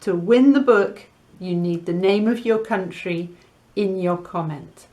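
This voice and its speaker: A woman reading to children and teaching in a deliberate, teacherly way, mixing Spanish words into English. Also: on the low side, dark tone. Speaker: storyteller and language teacher host. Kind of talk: children's story reading and lesson chat.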